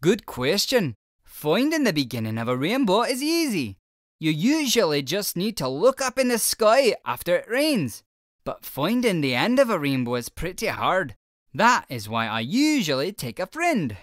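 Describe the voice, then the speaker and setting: Lady doing a friendly leprechaun guy, kinda low, bouncy up and down, brogue-ish, storybook read. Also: very animated, normal speed, no echo, clean studio sound. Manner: welcoming, explaining patiently. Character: cheerful male leprechaun shoemaker, friendly. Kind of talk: children's picture book read-aloud.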